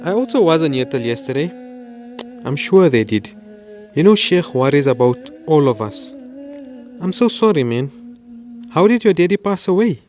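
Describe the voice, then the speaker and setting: Higher-voiced earnest young student. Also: male voice, big pitch swings, moderate pace, long pauses, darkish tone, faint music behind. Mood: concerned. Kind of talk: scripted audio drama acting.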